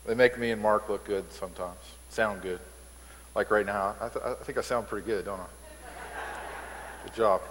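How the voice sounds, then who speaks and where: A man's voice: steady tone, moderate pace, long pauses, noisy background. A male pastor preaching a conversational sermon in a church, repeating words as he builds emphasis. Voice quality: says he has a squeaky voice. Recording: reverberant hall sound.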